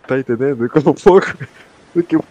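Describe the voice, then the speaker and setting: A man with a Brazilian accent hosting a casual podcast discussion, slangy and halting as he explains. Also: medium pitch, dark tone, a little hiss or hum, rough recording. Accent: Brazilian Portuguese. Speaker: podcast host.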